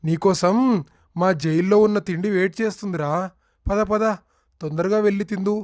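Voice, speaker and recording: Man acting a high-pitched, authoritative police officer. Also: fairly even intonation, brisk, a little mellow, dry recording, clean studio sound, natural voice. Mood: gloating. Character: stern, taunting male police officer. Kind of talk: melodramatic Telugu moral-story cartoon voice acting.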